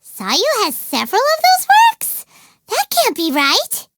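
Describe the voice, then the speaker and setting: A woman voicing a tiny, excitable, naive fairy companion in a video game, with a squeaky childlike voice and bubbly manner. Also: unhurried, slight background noise, full character voice. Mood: puzzled. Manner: bubbly, speaks of self in third person. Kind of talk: video game character dialogue.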